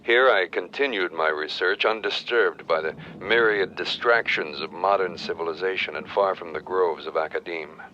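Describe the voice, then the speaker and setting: An actor playing a grave, scholarly archaeologist haunted by demons, speaking solemnly in a horror film's recorded journal. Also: male voice, low-pitched, pushed and bright, lo-fi sound. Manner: solemn, measured, formal scholarly diction. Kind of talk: scripted horror film recorded-journal narration. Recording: noisy old reel-to-reel tape playback.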